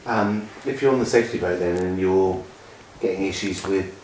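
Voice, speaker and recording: A man's voice: low voice, moderate pace, darkish tone. A man casually asking practical questions as an attendee at an informal session. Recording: very echoey room, distant mic, clear noise.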